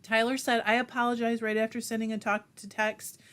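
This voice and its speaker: A woman's voice: fairly high pitch, flat delivery, a little fast, a bit bright, clean tone. Chatty woman hosting a livestream. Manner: chatty and self-deprecating, laughs often. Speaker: livestream host. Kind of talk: casual livestream chat, reading viewer comments aloud.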